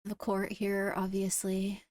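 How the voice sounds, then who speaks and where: A woman playing a caring, earnest ruler in an improvised Minecraft roleplay, speaking in a measured, hesitant, reassuring way. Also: mid-range voice, monotone, a little mellow, no room sound, clean studio sound, natural voice. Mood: concerned, reassuring. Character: caring, earnest female ruler holding court. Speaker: player leading a roleplay court.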